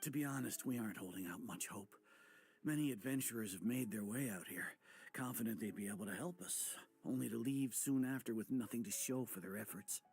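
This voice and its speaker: A man's voice: mid-range voice, darkish tone, lightly acted. A man voicing a weary, apologetic male village trader who talks hesitantly and stammers, with faint game music behind. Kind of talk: game cutscene voice acting.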